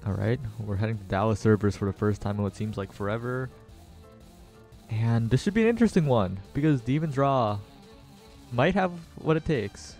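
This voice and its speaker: A man with a mid-range voice co-casts an esports match, relaxed and chatty, laughing easily and speeding up when a play gets tense. Faint game music plays underneath. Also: mid-range voice, moderate pace. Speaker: esports co-caster. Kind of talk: color commentary.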